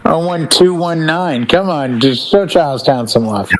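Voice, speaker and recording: A man's voice: a little high, lively intonation, unhurried. Man in casual podcast banter. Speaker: podcast co-host. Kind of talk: casual panel chat.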